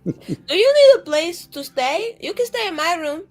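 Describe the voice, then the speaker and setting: A woman with a high-pitched voice improvises casual banter as a player in a tabletop roleplaying game. Her manner is playful and argumentative, and music plays underneath her talk. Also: expressive, moderate pace, darkish tone. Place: online group stream.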